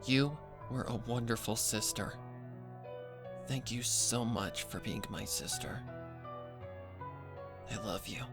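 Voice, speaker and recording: A man voicing a gentle, earnest young pony mare who speaks softly and sincerely, in a fan fiction story reading. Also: lowish voice, slow pace, creaky, long pauses, music in the background. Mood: tender.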